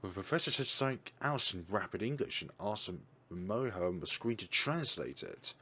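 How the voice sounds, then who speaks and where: A man reading a visual novel aloud for his channel, in a steady voice with some slips and restarts. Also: on the low side, moderate pace, breathy edge. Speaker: game reader.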